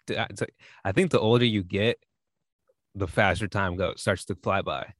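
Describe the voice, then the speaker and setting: A man's voice: lowish voice, moderate pace, dry recording, studio quality. A man in his late twenties co-hosting a podcast, chatting in an easygoing, joking way and laughing often. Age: 20s. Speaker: podcast co-host. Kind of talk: casual chat.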